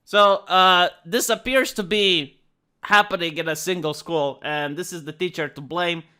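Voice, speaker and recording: A man's voice: high voice, unhurried, bright, forceful tone, clear, resonant tone. A man with a Romanian accent giving an earnest, emphatic commentary monologue, often restarting words and saying "like". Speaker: online commentator.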